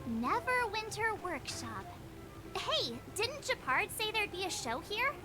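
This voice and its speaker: A woman voicing a bubbly, curious young adventurer in a video game, chatty and excitable. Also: very high voice, measured pace, full character voice. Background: game music.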